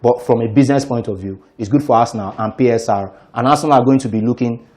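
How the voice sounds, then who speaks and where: A man's voice: medium pitch, fast talker, darkish tone. Assertive male football analyst. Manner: assertive, explanatory. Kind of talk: sports talk show analysis.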